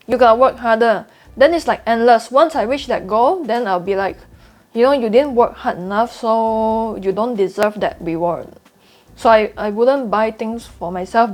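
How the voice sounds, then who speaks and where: Earnest Singaporean woman, higher voice. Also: a little mellow. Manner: earnest, confiding, repeats for emphasis. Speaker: trading YouTuber. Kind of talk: candid vlog to camera.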